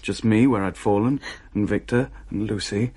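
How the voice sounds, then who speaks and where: Deep-voiced, haunted man telling a ghost story. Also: normal speed, dark tone, husky. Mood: horrified, haunted. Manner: hesitant, haunted, dramatic storytelling. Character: shaken man recounting a horrifying ghostly vision. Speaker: narrator and character in radio play. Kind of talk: dramatic radio play narration.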